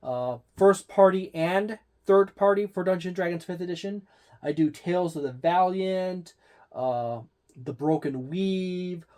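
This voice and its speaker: A man's voice: a little high, darkish tone. A man hosting a tabletop game channel, giving a casual product review in a rambling way with many fillers and restarts.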